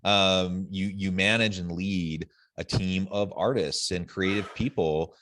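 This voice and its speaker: A man's voice: deep voice, steady tone, slow, fairly bright tone. A man hosting a podcast, speaking in a conversational interviewing style. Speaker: podcast host.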